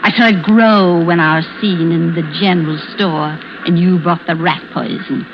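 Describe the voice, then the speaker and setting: An actress playing a murderous, scheming widow who taunts with false helplessness in an old-time radio suspense drama. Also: female voice, on the low side, fairly even intonation, slight background noise, natural voice. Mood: scornful. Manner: taunting, theatrical, drawn-out monologue. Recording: muffled vintage radio recording with music.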